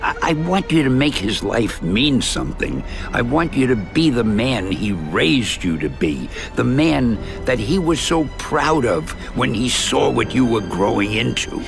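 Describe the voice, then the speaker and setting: An actor playing a kindly, folksy old mentor in a video game, gravelly and encouraging. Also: male voice, mid-range voice, sing-song, measured pace, few pauses, music in the background. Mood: gentle, encouraging. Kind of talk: video game dramatic acting.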